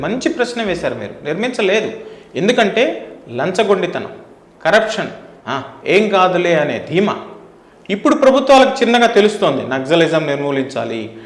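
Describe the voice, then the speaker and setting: A man's voice: sits high, very animated, normal speed. A middle-aged man from India, speaking emphatically as an interview guest, with rhetorical questions and repeated phrases. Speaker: interview guest, former air force officer. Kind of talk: opinionated interview talk. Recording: roomy, echoing indoor sound.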